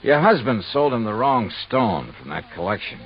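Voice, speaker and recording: An actor playing a shrewd, hard-boiled insurance investigator in a 1950s radio drama, with a clipped, wry, insistent delivery. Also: male voice, medium pitch, big pitch swings, moderate pace, natural voice. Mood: smooth, sly. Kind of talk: 1950s radio detective narration and acting. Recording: muffled vintage radio recording, music behind.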